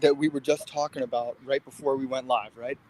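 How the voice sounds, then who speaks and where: A man's voice: mid-range voice, fairly even intonation, a little fast, darkish tone, rough recording. A man in his late twenties talking casually and earnestly in a livestream conversation. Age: late 20s. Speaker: fitness guest.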